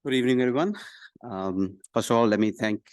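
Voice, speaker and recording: A middle-aged man from Pakistan introducing himself as a candidate at a public meeting, pausing often mid-phrase. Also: mid-range voice, darkish tone, no room sound, clean studio sound. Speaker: city council candidate. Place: council meeting room, speaking at a podium.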